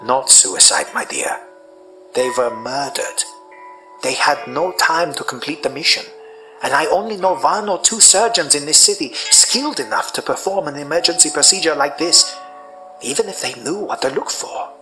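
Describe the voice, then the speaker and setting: An actor playing a cool, analytical doctor who explains things in a precise, clinical way. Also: male voice, on the high side, normal speed, bright, forceful tone, faint music behind, poor audio. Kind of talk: radio drama acting.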